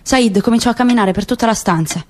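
A woman narrating a literary work on the radio in a measured, expressive way. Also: fairly high pitch, slight background noise. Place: radio studio.

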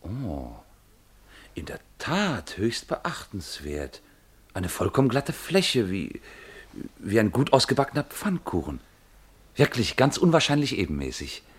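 Low-voiced, fussy, unyielding clerk. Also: male voice, huge pitch swings, slightly rough, exaggerated voice. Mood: curious, marvelling. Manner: deliberate, matter-of-fact, obliging but unyielding. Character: stolid, fussy male newspaper advertisement clerk, politely dismissive. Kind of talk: German radio play acting.

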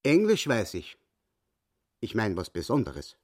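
An actor playing a boastful little hustler in a radio play, self-important and excitable, with a Viennese accent. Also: male voice, fairly low pitch, unhurried, dry recording, studio quality, full character voice. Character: cocky little hustler, boastful would-be world champion.

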